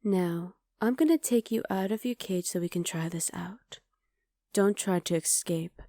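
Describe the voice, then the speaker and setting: A woman voicing a scheming, possessive witch in an ASMR roleplay, speaking softly and often half-whispering close to the mic. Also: lowish voice, measured pace, dry recording. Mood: cool, menacing. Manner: soft, intimate, coolly calculating, often half-whispered. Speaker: voice actor.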